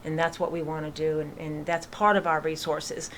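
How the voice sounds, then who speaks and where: A woman's voice: low voice, little pitch movement, moderate pace, slight background noise. A woman in her sixties with a Boston accent, talking as a guest in a studio interview in a friendly, plain-spoken way. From Massachusetts, USA. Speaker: guest, charity founder. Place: local radio studio.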